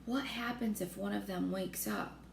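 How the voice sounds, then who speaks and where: A woman's voice: mid-range voice, fairly even intonation, moderate pace, gravelly, close to an everyday voice. A woman voicing a blustering, cowardly male army chief in a storybook, given to urgent exclamations. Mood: anxious. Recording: roomy echo with faint noise.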